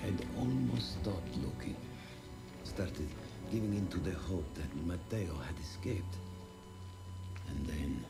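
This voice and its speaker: An actor playing a haunted old Italian war veteran, reminiscing gravely with an Italian accent in a war game. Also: male voice, low-pitched, lively intonation, takes it slowly, exaggerated voice, low-quality recording. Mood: grieving, subdued. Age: older. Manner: grave, reflective storytelling. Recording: loud music and battle ambience behind. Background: music, war ambience, vehicles.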